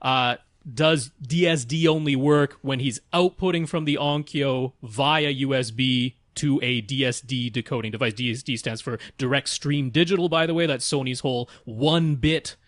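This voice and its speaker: A man's voice: medium pitch, moderate pace, fairly bright tone, no echo, studio quality. A man co-hosting a podcast, explaining technical details methodically in a casual conversational style. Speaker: podcast co-host.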